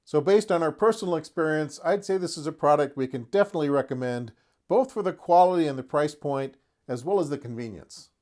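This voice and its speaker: A man's voice: a little high, brisk, darkish tone. Man casually presenting a product showcase. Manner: chatty, folksy, lightly humorous asides. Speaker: host of a tabletop wargaming terrain review.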